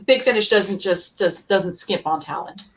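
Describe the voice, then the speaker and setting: A woman co-hosting a casual fan podcast, gushing and emphatic, often doubling back on her phrases in a halting way. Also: mid-range voice, lively intonation. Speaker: podcast co-host. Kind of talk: casual fan panel discussion.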